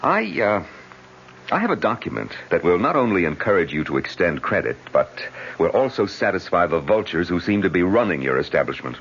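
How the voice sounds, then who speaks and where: A man's voice: low-pitched, very animated, fairly quick, fairly bright tone, exaggerated voice. A man playing a proud, indignant French viscount with a reckless gambling habit, in a haughty, theatrical old-time radio drama style. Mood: smug. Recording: muffled old radio recording, faint noise.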